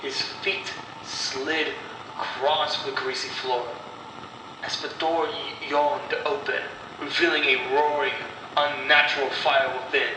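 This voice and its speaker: Echoey, slow-paced man narrating horror. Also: mid-range voice, level tone. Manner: slow, deliberate delivery with dramatic pauses. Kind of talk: scripted horror story narration. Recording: echoey, distant voice with clear noise.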